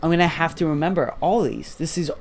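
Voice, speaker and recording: A man's voice: on the high side, fast, background noise. A seventeen-year-old man hosting a casual podcast, rambling with lots of fillers and swearing. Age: teens. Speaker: podcast host. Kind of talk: casual chat.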